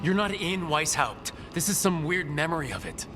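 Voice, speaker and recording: An actor playing a plain-spoken, wry hero leading companions in a fantasy video game. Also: male voice, on the high side, very animated, normal speed, pushed and bright, slight background noise. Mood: confrontational. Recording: game music behind.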